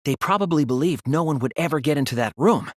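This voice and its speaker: Teenage boy detective, slightly high, husky, earnest, deliberate, dramatic video game deduction. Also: animated, dry recording, studio quality. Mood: grave, reasoning. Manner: deliberate, reasoning aloud, emphatic when refuting. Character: earnest, thoughtful teenage male detective, soft-spoken but resolute.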